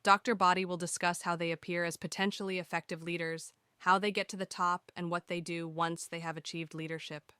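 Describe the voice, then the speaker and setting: A woman giving a measured, formal read-aloud of a scripted passage. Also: fairly low pitch, level tone, pushed and bright, clear, resonant tone, dry recording. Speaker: narrator reading practice passages.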